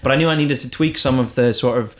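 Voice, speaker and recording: A man's voice: mid-range voice, fast. A man with an Irish accent, a stand-up comedian chatting casually and rambling through his stories with restarts. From Ireland. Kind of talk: casual chat.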